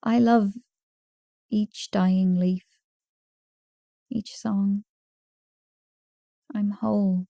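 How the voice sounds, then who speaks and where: A woman reciting poetry in a measured, deliberate way, pausing between short phrases. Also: medium pitch, steady tone, vocal fry, warm tone, no echo, studio quality. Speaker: poetry reader.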